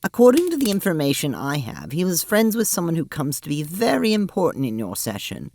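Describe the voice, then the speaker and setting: A woman's voice: sits low, huge pitch swings, brisk, darkish tone, heavily acted. A woman playing a prim, fatalistic advisor who speaks formally and precisely in an audio drama. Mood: resigned, earnest. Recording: faintly noisy mic with clicks.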